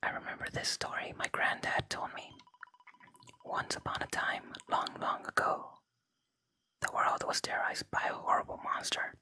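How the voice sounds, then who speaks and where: A gaming host speaks entirely in a gentle whisper, with a very bright, crisp-edged tone. The delivery is ASMR for a let's play, close to the microphone, moving between reading dialogue aloud and quiet commentary. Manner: unvoiced, gentle whispering throughout.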